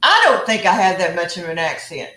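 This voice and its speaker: A woman's voice: fairly low pitch, animated, a little fast, sharp tone. Texan woman, home cooking host, teasing, roomy kitchen sound. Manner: chatty, teasing, laughs often. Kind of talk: casual live cooking chat.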